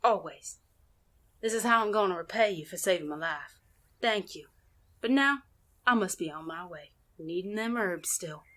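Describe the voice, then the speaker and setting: A mysterious Cajun healer woman, played by an actress, folksy and playful in a drawl. Her pitch swoops up and down freely, in the manner of an audio drama. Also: lively intonation, moderate pace, fairly bright tone. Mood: mysterious, assured.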